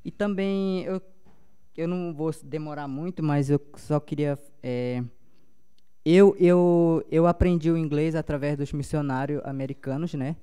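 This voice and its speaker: Young guy w paumari accent, kinda high voice, mellow, halting and restarting a lot. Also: fairly even intonation, clear, resonant tone. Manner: halting, many restarts and fillers. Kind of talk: spontaneous panel talk.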